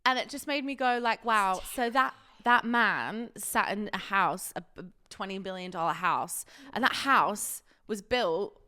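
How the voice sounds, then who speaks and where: A woman's voice: mid-range voice, a little slow, hardly any pauses, pushed and bright. Woman podcast co-host, chatty and sweary, a bit sniffly with a cold. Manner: chatty, swears freely, laughs while talking. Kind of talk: casual chat.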